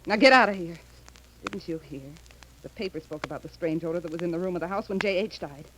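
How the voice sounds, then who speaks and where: Actress as high-strung scheming widow, old radio. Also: female voice, fast, lightly acted. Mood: curt, suspicious. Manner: melodramatic, accusing. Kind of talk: 1930s radio horror melodrama. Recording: faintly noisy old radio recording.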